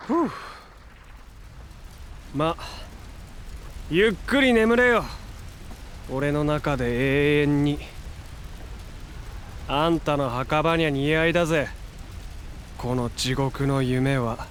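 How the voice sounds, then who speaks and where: An actor playing a brash, warm-hearted young hero in a superhero audio drama, talking casually, cocky but sincere. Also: male voice, on the high side, takes it slowly, pauses often, a little hiss or hum. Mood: cool, low-key.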